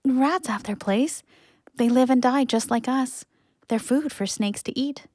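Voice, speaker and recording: A young woman playing a grieving imprisoned student in an audio play, quietly telling her story. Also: sits high, measured pace, no room sound, clean studio sound. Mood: bewildered, stoic. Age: young adult.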